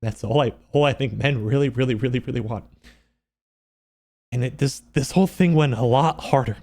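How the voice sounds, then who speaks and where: A man speaking off the cuff in a personal vlog, upset and close to crying, halting and repeating himself earnestly. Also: medium pitch, darkish tone. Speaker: streamer and video creator. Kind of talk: unscripted personal vlog monologue.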